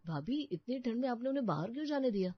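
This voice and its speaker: A woman's voice: a little high, lively intonation, quick pace, dry recording, highs cut off. A woman playing a concerned young man in a cartoon family story.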